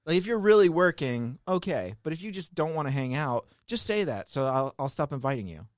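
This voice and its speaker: A man's voice: a little high, big pitch swings, brisk, no echo, clean studio sound. A man chatting as a podcast guest, rambling with lots of "like" and laughing often. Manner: rambling, heavy use of "like", frequent laughter. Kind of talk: casual chat.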